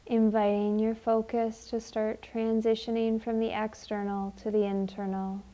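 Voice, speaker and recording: Woman, meditation guide, slightly high pitch, very even melody, soothing, unhurried delivery. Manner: gentle, unhurried, soothing, with long pauses.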